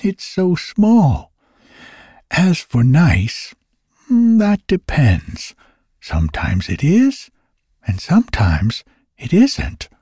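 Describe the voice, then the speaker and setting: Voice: mid-range voice, wildly swooping pitch, drawn-out words, long pauses, dark tone, breathy edge, no echo, voice pushed up, full character voice. A narrator voicing a tiny, fussy, boastful male elf with a dry, peevish voice in a storybook reading. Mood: scornful, boastful. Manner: fussy, exclamatory.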